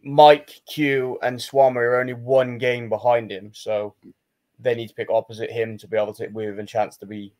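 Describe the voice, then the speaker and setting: A man's voice: medium pitch, flat delivery, normal speed, darkish tone. Male co-host, sure of his picks, plain delivery, ribs his partner now and then. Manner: confident, matter-of-fact, teasing. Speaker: podcast co-host. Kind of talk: casual sports picks chat.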